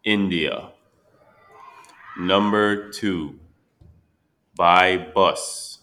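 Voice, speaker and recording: A man slowly reading English listening test items, repeating each word and sentence deliberately. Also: low-pitched, steady tone, sharp tone. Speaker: test reader. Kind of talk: slow scripted exam dictation.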